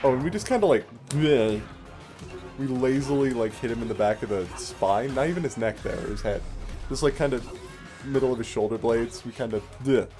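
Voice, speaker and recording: A man's voice: mid-range voice, moderate pace. A man giving casual, explanatory commentary as he plays a game, with a wry way of talking. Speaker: let's play host playing the game. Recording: game music behind.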